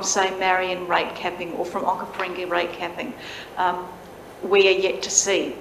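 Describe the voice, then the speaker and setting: A woman's voice: mid-range voice, fairly bright tone, slight background noise. Aussie lady councillor explaining herself, lots of ums, roomy chamber. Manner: measured, explanatory, many "um" fillers. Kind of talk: answering a question in a council meeting. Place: large council chamber, indoors. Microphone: desk microphone.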